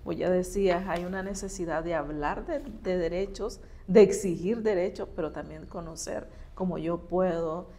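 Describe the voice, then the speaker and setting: A woman's voice: on the low side, moderate pace, hardly any pauses, dark tone. A woman hosting an interview, asking attentive follow-up questions. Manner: attentive, asks questions with frequent short acknowledgments. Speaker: interview host. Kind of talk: conversational interview.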